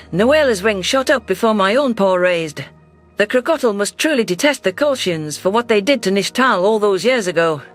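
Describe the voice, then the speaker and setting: Guy narrating a sci-fi story, steady and measured, higher voice, soft music behind. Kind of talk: scripted sci-fi audiobook narration.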